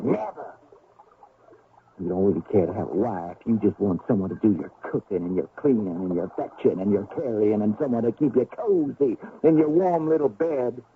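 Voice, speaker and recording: A man's voice: medium pitch, slight background noise, close to an everyday voice. A man playing a bitter, sarcastic grown son of a gold miner in a radio drama, with an accusing, dramatic delivery. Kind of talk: 1970s radio drama acting. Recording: tinny band-limited old radio broadcast.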